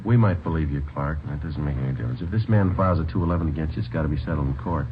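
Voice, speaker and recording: A man playing a calm, matter-of-fact police investigator in a 1940s radio drama, terse and dry. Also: extremely low pitch, very fast. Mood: calm questioning. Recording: tinny, noisy 1940s radio recording.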